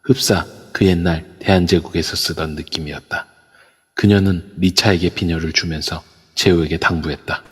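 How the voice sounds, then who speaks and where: A man narrates a spoken drama in a steady, storytelling way. His voice is very deep and husky. It carries long reverb through a phone voice chat. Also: normal speed. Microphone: phone in an online voice room.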